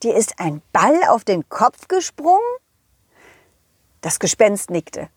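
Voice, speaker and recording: A woman voicing a confident, loyal, kindly dog who tells his adventures to children in a chatty, halting, empathetic way. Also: medium pitch, big pitch swings, husky, no echo, studio quality, full character voice. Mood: earnest, kindly. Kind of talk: children's audio play storytelling.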